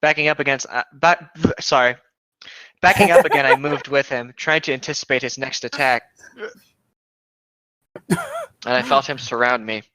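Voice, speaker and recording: A man's voice: medium pitch, normal speed, pauses often, pushed and bright, dry recording. A man co-hosting a reading show, narrating a story aloud with casual asides, on a slightly muffled close mic. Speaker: co-host of a fan fiction reading show.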